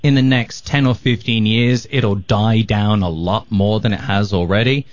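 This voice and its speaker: Man, faded English accent, fairly low pitch, dry dull sound. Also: fairly even intonation, normal speed, no echo. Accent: English, fading toward American. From England. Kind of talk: casual radio chat. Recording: dull lo-fi broadcast audio.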